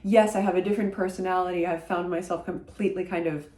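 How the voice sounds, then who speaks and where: A woman whose first language is French talks casually to camera about a book she has read, thoughtful and often pausing on fillers. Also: fairly low pitch, steady tone, warm tone. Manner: thoughtful, hesitant, many uh fillers. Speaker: book vlogger. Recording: roomy echo.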